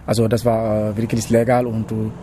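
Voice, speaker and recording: A young man from Senegal who learned German as an adult, answering interview questions about his cooking apprenticeship, often pausing to search for words. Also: fairly low pitch, completely flat delivery, dark tone, slight background noise. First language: not German. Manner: halting, searching for words with many hesitations. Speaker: interviewee, trainee cook. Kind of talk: casual interview.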